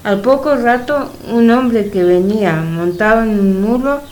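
A woman's voice: mid-range voice, measured pace, darkish tone. A woman narrating a scripted story for a radio drama.

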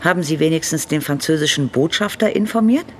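A man's voice: on the high side, expressive, brisk, a little hiss or hum, lightly acted. An actor, a man by the sound, playing a level-headed, insistent staff spokesperson with a formal, firm manner. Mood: disappointed, insistent. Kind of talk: radio drama dialogue.

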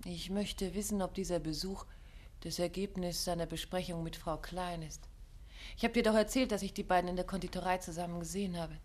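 An actress playing a detective's attentive, cautious wife in a 1950s German radio play, with an earnest, questioning manner. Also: female voice, lowish voice, steady tone, fairly quick, a little mellow, natural voice.

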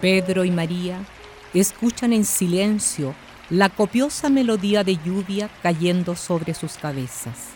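A woman's voice: on the low side, a little slow, a little hiss or hum. A woman delivering scripted literary narration for a radio drama. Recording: faint music and rain bed. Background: soft music and rain.

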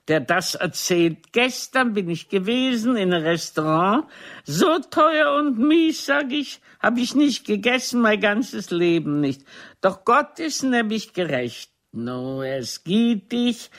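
An actress playing a brash, sharp-tongued stage diva in a radio play, blunt and theatrical in her delivery. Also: mid-range voice. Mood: theatrical joke-telling. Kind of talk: German radio play acting.

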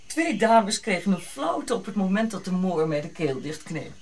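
A woman's voice: mid-range voice, sing-song, moderate pace, a little mellow, a little hiss or hum. A middle-aged woman playing a pushy colonial society lady in a radio drama, gushing, grand and coquettish. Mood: flirtatious, gushing.

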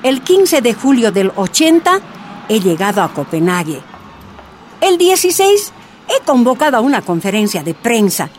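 Activist woman, resolute, highish lively voice, testimony, faint music. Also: normal speed. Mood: reflective, resolute. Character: Bolivian activist woman recounting her life, resolute. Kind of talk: first-person testimony in radio drama.

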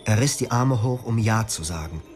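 A man narrating his memories in the first person, reflective and quiet. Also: on the low side, expressive, moderate pace. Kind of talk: scripted memoir narration. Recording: music behind.

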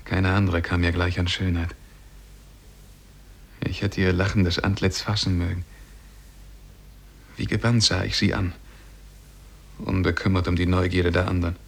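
An actor playing a lovesick, melancholy man who tells the story of his youth in a measured, mournful way, in 1950s German radio drama style. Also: sits low, little pitch movement, creaky, slightly rough, exaggerated voice. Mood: reproachful, wistful.

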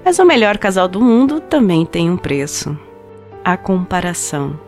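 A woman plays a wistful, heartbroken young woman narrating her own love story for an audio drama. Her voice sits slightly low, intimate and confessional, with reflective pauses. Music plays prominently beneath her. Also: very animated, darkish tone. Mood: anxious, reflective. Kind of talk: dramatized first-person short story narration in a podcast. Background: music under the voice.

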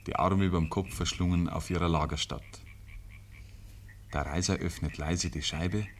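A man with a Bavarian accent narrating a 1950s radio play in measured, rustic storytelling. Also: sits low. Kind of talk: scripted literary radio narration. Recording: noisy old 1950s radio recording.

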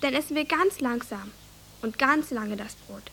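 A woman's voice: on the high side, moderate pace, creaky, sharp tone, a little hiss or hum. An actress playing an earnest, bossy young village girl in a radio drama, speaking in an eager, childlike way. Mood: instructing. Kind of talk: German radio drama acting.

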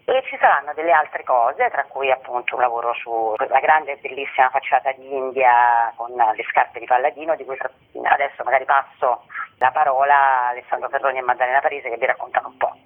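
A man's voice: medium pitch, fairly quick. A man giving an informal, wordy presentation of a theatre programme, often digressing and correcting himself. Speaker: theatre company member presenting a festival programme. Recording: tinny, narrow phone or radio sound.

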